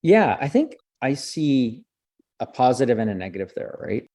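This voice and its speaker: A man's voice: mid-range voice, very animated, moderate pace, mellow, no room sound. A man from French Canada, a native French speaker, answering interview questions as a communications professor in a thoughtful, discursive way, often restarting and tagging "right?".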